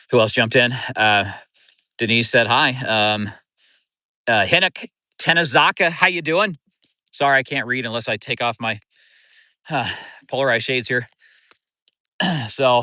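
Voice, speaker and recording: A man's voice: medium pitch, dry recording. A middle-aged man from Kansas City with a Midwestern accent, chatting casually with viewers on a livestream from beside a river outdoors. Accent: Midwestern American. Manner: relaxed, rambling, greets viewers by name. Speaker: livestream host and fishing coach.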